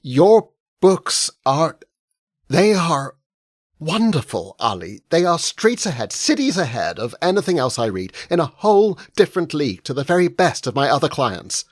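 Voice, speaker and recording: A man's voice: fairly high pitch, sing-song, normal speed, no room sound, studio quality, pitched above the performer's own voice. A male narrator voicing a strident, persuasive older woman literary agent. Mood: earnest. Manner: smiling, persuasive, hushed when moved. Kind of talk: audiobook character voice.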